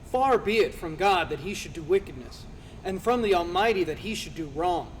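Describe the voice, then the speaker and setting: Man acting as earnest scripture counselor, high male voice, declamatory, preaching, long reverb, faint noise. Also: lively intonation, moderate pace. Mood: admonishing. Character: Elihu, earnest male counselor rebuking and lecturing Job. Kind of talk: dramatized scripture reading.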